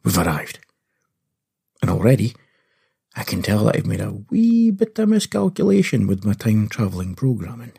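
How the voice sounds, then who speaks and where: Male storyteller, lowish gravelly voice, lively swoops, playful comic asides for a young audience. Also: moderate pace. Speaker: podcast host and storyteller. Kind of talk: animated first-person storytelling for children.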